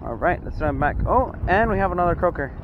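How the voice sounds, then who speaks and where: A man's voice: high-pitched, animated, fast talker, phone-quality sound. A young man from Texas giving upbeat, casual commentary to viewers while fishing on a rocky jetty, recorded on a body-worn action camera. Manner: upbeat, exclaims over each catch, addresses viewers as guys. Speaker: fishing vlogger. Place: outdoors on a rocky jetty by open water. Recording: wind noise outdoors. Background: wind and water.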